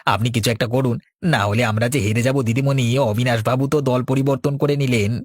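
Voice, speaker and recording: Guy doing a sneaky cartoon henchman, mid pitch, sneering, scheming, dry sound. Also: steady tone, a little fast, no echo, close to an everyday voice. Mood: alarmed. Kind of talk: Bengali cartoon dialogue.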